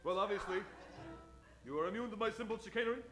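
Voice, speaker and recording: An actor playing a nervous, wheedling adventurer's companion in a comic radio play, stammering and clumsily sly. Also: male voice, high-pitched, quick pace, fairly bright tone, reverberant, soft background music. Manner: stammering, jittery. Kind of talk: comic old-time radio adventure acting.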